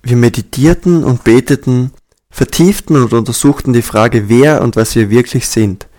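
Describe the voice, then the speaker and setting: Male audiobook reader, mid-pitched voice, calm, measured, earnest read. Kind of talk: scripted audiobook reading.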